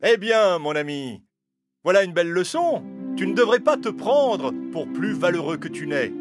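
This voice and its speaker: A man voicing a cunning, smooth-talking fox in an animated fable, with wheedling, theatrical phrasing. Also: high-pitched, moderate pace, music in the background. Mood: smug, lecturing. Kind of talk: animated fable character acting.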